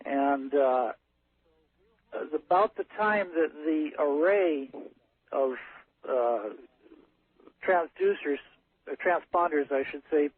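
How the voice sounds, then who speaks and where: A man tells his account over a tinny telephone line to a radio show. His voice is husky and a little high, and he speaks haltingly, in a matter-of-fact technical manner. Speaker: caller, ship's captain. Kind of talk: unscripted storytelling on a call-in show. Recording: narrow muffled phone line on radio.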